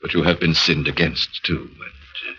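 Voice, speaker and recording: A compassionate prison priest in 1940s radio style. His voice is extremely low, forceful and husky, solemn and measured. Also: steady tone, heavily acted. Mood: solemn, gentle. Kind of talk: 1940s radio drama acting. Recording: dull 1940s radio recording.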